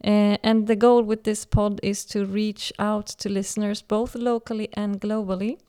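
A woman's voice: mid-range voice, level tone, normal speed, dark tone, clear, resonant tone. A woman in her forties from Sweden, speaking English with a Swedish accent as she hosts a casual podcast chat and leads the talk. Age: 40s. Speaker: podcast host.

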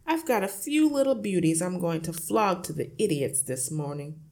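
A middle-aged storybook husband acted out by a woman, loud and full of himself. He blusters and shouts pompously, bullying everyone within earshot. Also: fairly low pitch, expressive, normal speed. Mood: boastful, hearty.

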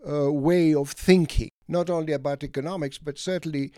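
An elderly man from Egypt and Greece, an academic guest reflecting on his life in a halting, deliberate way with many pauses. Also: on the high side, fairly even intonation, dark tone, no room sound, studio quality. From Egypt and Greece, of Greek parents. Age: late 70s or older. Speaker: interview guest, economics academic. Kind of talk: reflective autobiographical interview talk.

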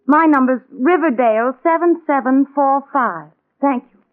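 An actress playing an anxious wife with an American accent, worried and plaintive, in 1940s radio drama. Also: female voice, high-pitched, normal speed, natural voice. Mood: puzzled, insistent. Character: anxious, fretful wife searching for her missing mother. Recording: tinny narrow-band old radio recording.

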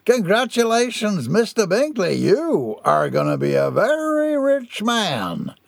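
A man voicing a commanding ship's captain who speaks in a grand, deliberate way, as if addressing a crowd. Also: high-pitched, wildly swooping pitch, creaky, no room sound, voice pushed up, full character voice. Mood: proud.

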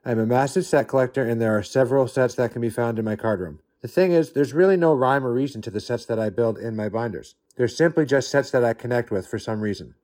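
A man's voice: mid-range voice, fast talker, hardly any pauses, a little mellow. Earnest man reading a prepared segment. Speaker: guest contributor. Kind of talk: prepared spoken submission.

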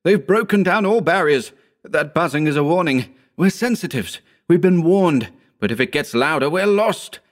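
A man acts out a frightened man taking charge in a horror tale. His voice is raised high and insistent. Every phrase is urgent and desperate. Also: very animated, brisk, hardly any pauses. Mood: frantic warning. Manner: insistent, breathlessly urgent exclamations. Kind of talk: dramatic audiobook horror reading.

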